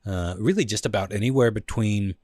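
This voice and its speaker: Low husky podcast host. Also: male voice, brisk, no room sound, studio quality. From Pacific Northwest of the US. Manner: relaxed, swearing often. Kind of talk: casual chat.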